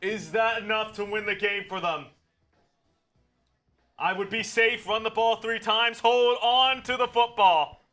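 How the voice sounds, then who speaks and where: A man co-hosting a live football watch party, calmly explaining game situations and strategy. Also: high voice, unhurried, soft background music. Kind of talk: casual football analysis on a livestream.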